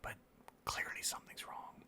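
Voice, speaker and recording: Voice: normal speed, bright, forceful tone. Whispering, rambling video host. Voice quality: breathy whisper. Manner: rambling, anecdotal, profane. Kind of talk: whispered ASMR-style casual rambling.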